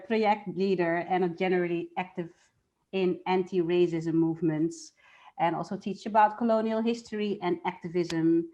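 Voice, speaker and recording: A woman with a mid-pitched voice hosts a live event, introducing speakers casually. The recording is somewhat dull and lo-fi. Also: a little mellow. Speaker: host introducing team members.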